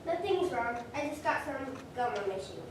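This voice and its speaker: Woman playing plucky teenage schoolgirl, high voice, earnest, expressive, reader's theater, heavy room echo. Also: moderate pace. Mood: uneasy, disbelieving. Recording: distant mic in echoey room, faint noise.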